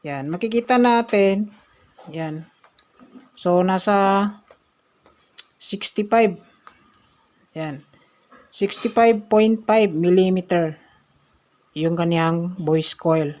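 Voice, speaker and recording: A woman presenting an informal hands-on repair tutorial, halting and punctuating steps with short filler phrases. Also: lowish voice, a little mellow.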